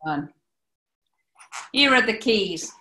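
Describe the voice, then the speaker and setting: A woman's voice: medium pitch, expressive, very slow, bright, forceful tone, exaggerated voice. An actress playing a brash, sardonic old New York landlady haggling over shabby rooms in a 1940s-style radio comedy. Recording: echoey room.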